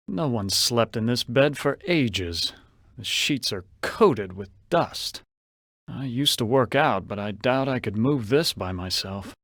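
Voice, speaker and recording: A suave, self-regarding con man in a video game. He has a mid-pitched voice and thinks aloud in a theatrical, brooding way, wary of what surrounds him. The sound is close and dry. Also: a bit bright, no echo. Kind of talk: video game character monologue.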